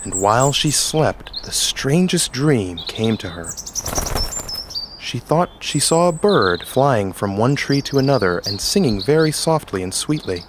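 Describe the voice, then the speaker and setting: A man's voice: mid-range voice, very animated, a little slow, noisy background. A man hosting and narrating a scripted storybook tale. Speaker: host and narrator.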